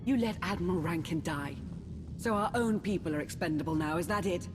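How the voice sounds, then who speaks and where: Woman as an accusing, lowish-voiced imperial subordinate. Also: a little fast, music in the background, natural voice. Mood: indignant. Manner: accusatory, pointed. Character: indignant, confrontational female imperial subordinate. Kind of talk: video game cutscene acting.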